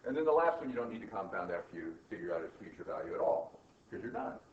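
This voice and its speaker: A man's voice: deep voice, sing-song, fast. Male teacher, conversational explainer who checks understanding; muffled, roomy recording. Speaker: finance teacher. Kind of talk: informal classroom explanation, thinking aloud. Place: indoor classroom. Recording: echoey room, distant mic, muffled, faint noise.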